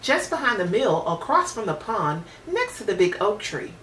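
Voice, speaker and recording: A woman's voice: mid-range voice, very animated, normal speed, a bit bright. A woman voicing a sweet, trusting little girl in a storybook, innocent and eager. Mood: innocent, cheerful. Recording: roomy, distant mic with hiss.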